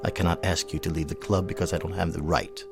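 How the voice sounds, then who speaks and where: A wealthy old colonel with a low voice, full of haughty disdain. The delivery is formal and exact, as in a radio drama. Music plays softly behind the words. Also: male voice, flat delivery, fast talker, creaky. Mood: cold contempt.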